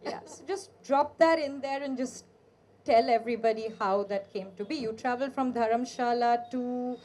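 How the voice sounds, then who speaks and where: A woman's voice: fairly high pitch, moderate pace, a little mellow, a little hiss or hum. A woman hosting a book interview, chatty and easygoing, with frequent fillers as she sets up questions. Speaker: interviewer, host.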